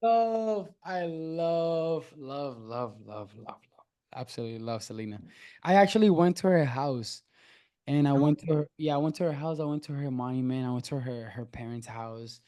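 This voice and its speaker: A man's voice: a little high, animated, moderate pace, warm tone, clean tone, no echo, studio quality. A man hosting an interview, chatting casually and enthusiastically, often repeating himself. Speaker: interview host.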